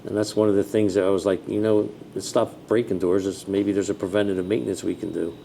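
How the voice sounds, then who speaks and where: Low, mellow American man, plain-spoken. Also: quick pace, gravelly. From New Jersey, United States. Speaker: fire chief being interviewed. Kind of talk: conversational interview answers. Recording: steady background noise with low rumble.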